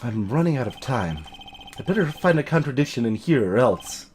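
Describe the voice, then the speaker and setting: A man's voice: mid-range voice, sing-song, normal speed, slight background noise, pitched below the performer's own voice, natural voice. A man voicing an earnest, determined young defense lawyer in a video game, emphatic and dramatic. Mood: worried.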